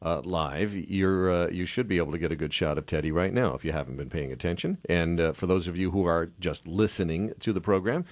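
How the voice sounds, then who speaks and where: A man with a deep voice hosting a casual radio studio interview, chatty and joking, with many fillers and restarts. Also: brisk, hardly any pauses, no room sound. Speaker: radio show host. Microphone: studio microphone.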